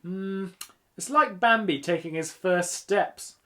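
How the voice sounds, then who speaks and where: A man voicing an excitable, teasing, encouraging schoolgirl in a children's audiobook. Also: high voice, takes it slowly, voice pushed up. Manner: eager, gushing, teasing. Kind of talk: children's audiobook character reading.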